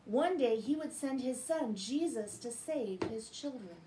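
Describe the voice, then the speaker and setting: A middle-aged woman with an American accent speaks to children as a bedtime storyteller. Her voice sits on the high side and she takes her time. It is a home recording with some noise behind her. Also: expressive, unhurried. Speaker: children's Bible story reader. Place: living room at home, indoors.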